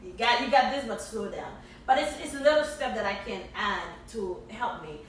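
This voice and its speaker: A woman's voice: mid-range voice, animated, moderate pace, a little hiss or hum. A woman from Haiti co-hosting a podcast, speaking with emphatic repetition in casual, motivational chat. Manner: emphatic, repeating phrases for effect. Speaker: podcast co-host. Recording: echoey room from far off.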